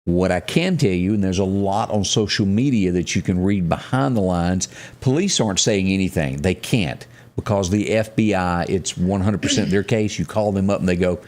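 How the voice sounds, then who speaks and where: A man hosting a live local news talk show, speaking in a halting, folksy way and repeating phrases for emphasis. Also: low voice, darkish tone. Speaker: morning show host.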